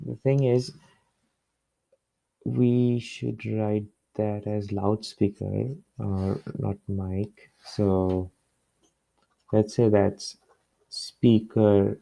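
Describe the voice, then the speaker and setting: A man working through a problem step by step as a tutor, in a halting way with pauses and repeats. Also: lowish voice, creaky, mellow, dry recording. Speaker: physics tutor. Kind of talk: explaining a worked problem step by step. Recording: dull, muffled lo-fi close recording.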